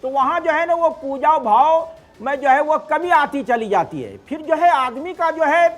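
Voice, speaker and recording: A man with a strikingly high-pitched voice answers as a cleric in an interview. He explains at length, haltingly, looping through the same filler phrases as he builds each point. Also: lively intonation. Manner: halting, wordy, heavy repeated verbal fillers.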